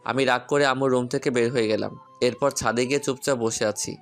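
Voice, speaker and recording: Male story narrator, mid-pitched voice, guitar music underneath. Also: steady tone, fairly quick, gravelly. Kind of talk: scripted first-person story narration with acted dialogue.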